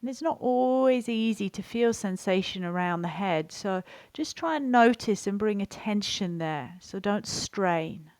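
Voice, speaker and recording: A woman teaching guided relaxation in a gentle, soothing, unhurried manner, leaving pauses between phrases. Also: mid-range voice, expressive, dry recording. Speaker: yoga and meditation teacher. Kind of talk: guided relaxation and body scan instruction.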